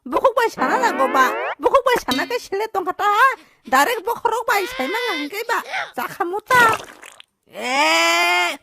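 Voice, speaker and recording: A woman voicing an excitable, squeaky cartoon character with an animated, exclamatory delivery in a dub. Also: measured pace, gravelly, very noisy, full character voice. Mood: excited chatter. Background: faint music.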